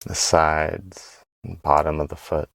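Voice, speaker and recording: Slow, low-voiced man guiding meditation. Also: flat delivery, gravelly, no room sound. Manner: gentle, unhurried, long pauses between phrases. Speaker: meditation guide. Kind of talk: guided body scan meditation.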